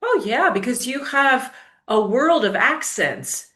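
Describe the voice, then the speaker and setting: An American woman tutor with a bright, forceful voice gives firm, encouraging advice. She speaks with lively emphasis, and her voice rings in an echoey room. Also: high-pitched, slow. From United States. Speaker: English tutor. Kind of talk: casual interview.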